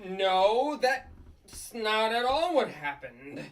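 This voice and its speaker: A woman's voice: mid-range voice, very animated, drawn-out words, far from the mic. A woman voicing a nervous, flustered male puppet district attorney in a comedic table read, sometimes stammering. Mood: defensive.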